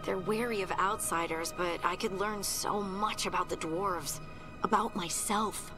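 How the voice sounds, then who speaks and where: An actress playing an earnest, curious young dwarf in a fantasy video game, speaking in a thoughtful, eager way. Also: female voice, medium pitch, fairly even intonation, measured pace, natural voice. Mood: hopeful, unsure. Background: game music.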